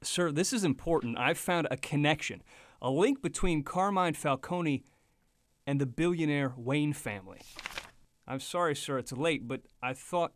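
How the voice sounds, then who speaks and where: An actor playing an earnest, deferential young legal assistant in a radio noir drama, polite and eager. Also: male voice, fairly high pitch, animated, moderate pace, no room sound, clean studio sound, close to an everyday voice.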